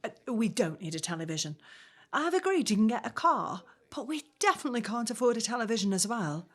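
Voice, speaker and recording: Gentle, teasing young wife. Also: female voice, on the high side, sing-song, brisk. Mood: fond, practical. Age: young adult. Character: affectionate, teasing young pregnant 1950s wife and daughter. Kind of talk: naturalistic audio drama acting.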